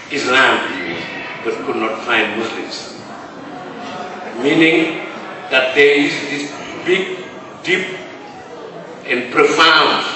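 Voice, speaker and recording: A professor from Senegal asking a formal, halting question from the floor of a large hall. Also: male voice, medium pitch. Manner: formal, halting, hesitant fillers. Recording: echoey hall, distant mic, noisy.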